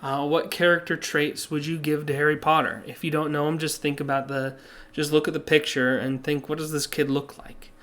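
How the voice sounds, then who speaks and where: A man teaching a recorded class lesson over slides, with a slightly hoarse voice and a plain, patient way of explaining that uses many "uh" fillers. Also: on the high side, flat delivery, moderate pace, a bit bright.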